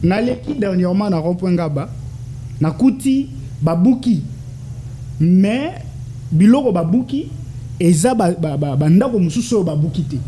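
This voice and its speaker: A man's voice: high-pitched, animated, moderate pace, pauses often, mellow, a little hiss or hum. A Congolese man with a Congolese French accent, a political analyst answering interview questions with rhetorical questions and stammered repeats.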